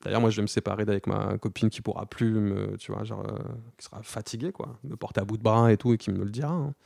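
A man in his thirties speaking candidly in an interview, slangy and halting, with many restarts and fillers. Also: lowish voice, fairly even intonation, gravelly, a little mellow, no room sound, clean studio sound. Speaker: guest.

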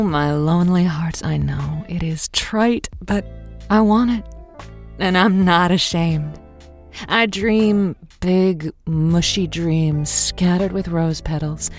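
A woman playing a wistful, self-deprecating romantic late-night radio host in an audio drama, with a breathy, confiding delivery. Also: fairly low pitch. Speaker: radio call-in show host. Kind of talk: semi-improvised audio drama, intimate radio monologue.

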